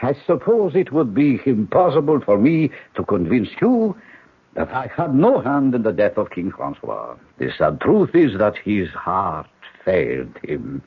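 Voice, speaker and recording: An actor playing a suave, menacing ruler, falsely courteous and mocking in a radio drama. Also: male voice, lowish voice, very animated, measured pace, breathy edge, full character voice. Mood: smooth, mocking. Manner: silky, theatrical, elaborately polite. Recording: dull vintage radio broadcast.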